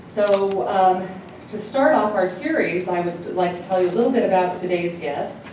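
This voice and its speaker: A woman's voice: medium pitch, darkish tone. Dutch-born woman speaking in an echoey hall. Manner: halting, with frequent filler sounds and restarts. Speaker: state assemblymember hosting a speaker. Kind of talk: formal speech introducing a guest. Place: university event hall. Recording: echoey hall, distant mic, noisy.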